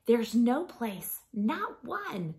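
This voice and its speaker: A woman in her thirties reading a picture book to children like a schoolteacher, gently and expressively, softening to a breathy hush at line ends. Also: on the high side, sing-song, drawn-out words. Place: small living room at home.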